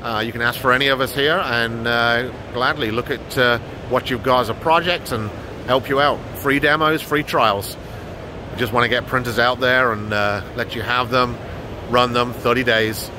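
A man's voice: mid-range voice, steady tone, normal speed. A middle-aged man giving an informal product tour to camera as a company representative, recorded on a handheld phone in a large trade show hall. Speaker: business development manager presenting products. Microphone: handheld phone at arm's length. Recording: noisy exhibition hall ambience.

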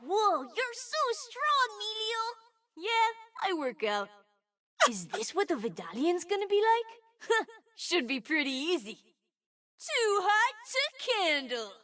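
A woman playing an eager, sweet, boastful twelve-year-old boy fire mage in a video game, with an excitable, earnest, childlike manner. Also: very high-pitched, slow pace, far from the mic, heavily acted. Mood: playful, proud. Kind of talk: video game character voice lines.

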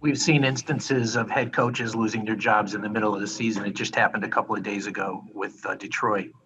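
A man's voice: fairly low pitch, fairly even intonation, brisk, a crowd in the background, low-quality recording. A male reporter putting pointed, skeptical questions to a coach at a press conference.

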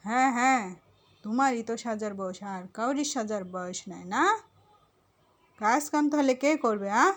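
A woman voicing a bossy, ageing mother-in-law in a cartoon, nagging with sharp questions. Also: on the high side, expressive, a little slow, long pauses. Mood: sarcastic nagging.

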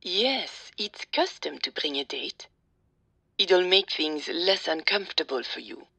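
A woman voicing a composed, insistent older mother in an audio drama, formal and brisk in manner. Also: on the low side, lively intonation, bright, forceful tone, slightly rough, dry recording, full character voice.

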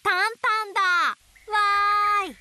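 A woman playing a gentle, motherly owl teacher who reads picture books to toddlers with playful sound words. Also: extremely high pitch, steady tone, takes it slowly. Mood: playful storytelling. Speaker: picture book storyteller for babies. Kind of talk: picture book read-aloud for toddlers. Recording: faint background noise of nature. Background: nature sounds.

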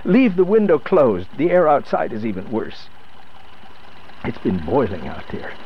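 A man's voice: medium pitch, huge pitch swings, a little slow, lo-fi sound, slight background noise. An actor playing a nervous, weary divorced man with a hesitant delivery in a radio drama. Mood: frightened, panicky. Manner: hesitant, stammering.

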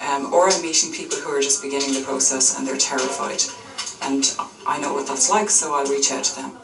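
A woman gives a conversational account of her experience. The recording is roomy and slightly distant, with clear music behind her. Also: very deep voice, steady tone, fairly quick. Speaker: lay litigant interviewee. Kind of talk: casual personal account.

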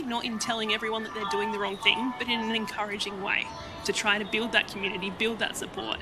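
An Australian woman on a public panel, earnestly encouraging small everyday actions, often repeating her words. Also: on the high side. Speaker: panel guest. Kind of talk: panel discussion answer.

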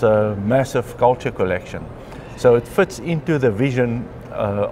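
Chatty South African man, lowish voice. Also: a little mellow, a little hiss or hum. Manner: chatty, frequent ums and clears throat. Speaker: interviewee, mycologist. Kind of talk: relaxed conversational storytelling. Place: large glass atrium of a conference venue. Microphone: lapel mic.

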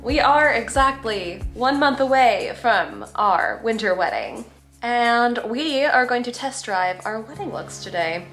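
A woman around her thirties chatting casually to camera in a playful, expressive way, stretching words for emphasis. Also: a little high, a little slow, soft background music. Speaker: vlogger. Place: apartment living room. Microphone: camera at arm's length.

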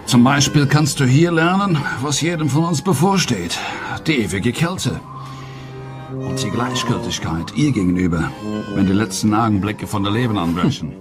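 An actor playing a dry, melancholy British commander in a German radio drama. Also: male voice, mid-range voice, animated, normal speed, over music, lightly acted. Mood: bleak, philosophical.

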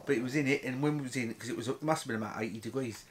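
A man's voice: medium pitch, rapid-fire, a little hiss or hum. A middle-aged man chatting casually on a bed, rambling and cheeky, laughing at his own jokes. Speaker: vlog co-host. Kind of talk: casual chat. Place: bedroom, sitting on a bed.

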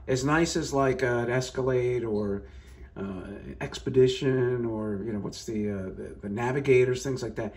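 A man talking casually while filming his car from a phone, rambling with many fillers and restarts, first in a hotel room and then out in a parking lot. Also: mid-range voice. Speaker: car vlogger. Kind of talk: casual off-the-cuff commentary.

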